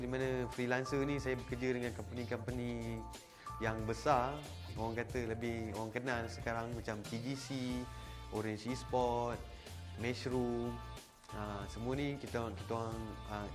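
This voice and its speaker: A man with a Malaysian accent, casually introducing himself and his esports crew work, dropping English words into his talk. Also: medium pitch. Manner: chatty, mixing in English words. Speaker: esports event crew member. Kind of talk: casual self-introduction to camera.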